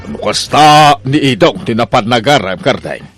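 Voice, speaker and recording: A man's voice: medium pitch, lively intonation, moderate pace, a bit bright, faint music behind, natural voice. A man with an Ilocano accent playing an exasperated, scolding old father of grown sons in a comedy radio drama. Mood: concerned.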